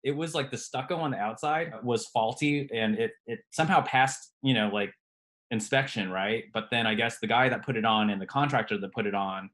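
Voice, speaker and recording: A man guest on a podcast, a radiologist, telling his story in a relaxed way, hedging often. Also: medium pitch, fairly quick. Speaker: guest, radiologist and private lender. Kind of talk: casual podcast interview.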